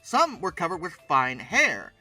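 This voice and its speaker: Animated, very bright-voiced man commentating. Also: fairly high pitch, unhurried, soft background music. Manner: sarcastic, exclamatory asides. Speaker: host commentating on old dinosaur art. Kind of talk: casual commentary mixed with reading text aloud.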